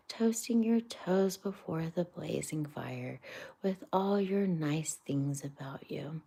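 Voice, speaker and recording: A woman's voice: lowish voice, creaky, warm tone, breathy edge, exaggerated voice. A woman voicing a gloomy, homesick male mole in a storybook reading, mournful and self-reproaching.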